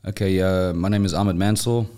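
A man's voice: deep voice, fairly even intonation, moderate pace, warm tone, smooth. A man with an Australian accent talking casually and conversationally as a guest in a podcast interview. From Australia, raised in Sydney. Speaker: interview guest, business owner.

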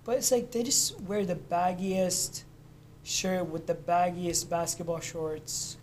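A man's voice: high voice, fairly even intonation, takes it slowly, a little mellow, slight background noise. A young man from Lebanon chatting casually, telling stories in a loose, profane way. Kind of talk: casual chat.

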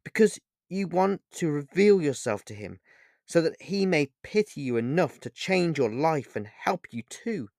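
A man playing a distraught, pleading man, raised pitch. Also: big pitch swings, darkish tone, no room sound, clean studio sound. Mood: insistent, realizing. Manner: emotional, halting, repeating words. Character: distraught, tearful man confronting a god, pleading and accusing. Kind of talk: dramatic fantasy storybook dialogue.

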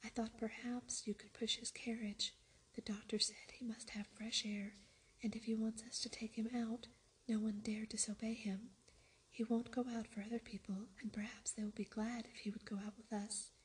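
A woman's voice: on the high side, flat delivery, moderate pace, breathy edge, low-quality recording. A woman whispering the lines of an earnest, eager young girl in a storybook reading.

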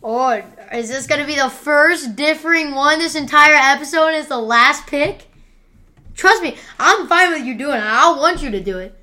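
Woman podcast host, loud and excitable, teasing, fairly high voice, bright ringing tone. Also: moderate pace. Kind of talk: casual sports picks chat.

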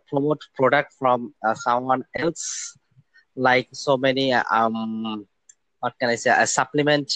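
A young man from Bangladesh talks as a guest over a remote call, pausing often with fillers and restarting his sentences. His voice sits at a middling pitch and sounds dry and close, with no room around it. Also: a bit bright, no echo, low-quality recording. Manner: hesitant, many fillers and restarts. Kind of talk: casual interview talk.

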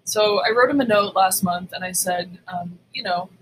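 A woman's voice: medium pitch, moderate pace, noisy background. A woman co-hosting a podcast, telling stories in casual, blunt, emphatic chat with plenty of swearing. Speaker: podcast co-host. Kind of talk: casual, profane storytelling chat.

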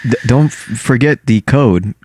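A man on a podcast telling stories in a slangy, profane, animated way. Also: medium pitch, a little slow, a little hiss or hum. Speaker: podcast co-host. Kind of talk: casual storytelling chat.

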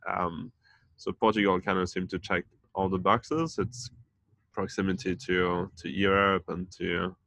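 Low gravelly man, casual and hesitant. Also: bright, forceful tone, no echo. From France and Denmark. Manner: hesitant, many fillers and restarts. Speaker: community founder, podcast guest. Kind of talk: casual interview chat.

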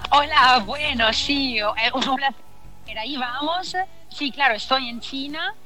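Bright-voiced Italian woman, hesitant. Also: high-pitched, measured pace. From Italy. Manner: hesitant, searching for words, many restarts. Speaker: singer, interview guest. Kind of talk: radio interview chat. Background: faint background music.

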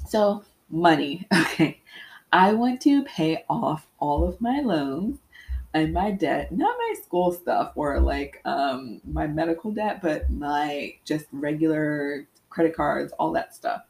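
Solo podcast host: young woman, low voice with vocal fry, candid and reflective, a bit stuffy-nosed. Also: big pitch swings, slow pace, a little mellow, rough recording. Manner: candid, reflective, with frequent ums. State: congested with sniffles.

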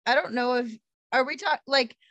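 A woman's voice: high voice, moderate pace, fairly bright tone, no room sound. A woman co-hosting a podcast, teasing and answering in short, dry replies. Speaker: podcast co-host. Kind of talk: casual chat.

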